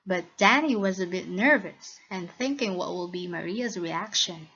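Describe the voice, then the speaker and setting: A woman with a Filipino accent narrating a scripted lesson as a teacher, in a deliberate, instructional manner. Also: on the low side, pushed and bright, breathy edge. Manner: deliberate, instructional, checks with listeners. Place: recorded voice-over for slide lesson. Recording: dull, lo-fi voice recording.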